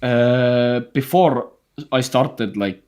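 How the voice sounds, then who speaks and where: A man's voice: medium pitch, extremely slow, darkish tone. A man, a guest on a group video call, talking bluntly and swearing freely as he rambles through stories. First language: Estonian. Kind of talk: casual chat on a group call.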